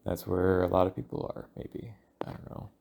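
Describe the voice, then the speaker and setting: A man thinking aloud in a casual, rambling audio log, halting and informal, sometimes voicing imagined lines of dialogue. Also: low voice, fairly even intonation, gravelly, a little mellow, slight background noise. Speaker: solo audio log host.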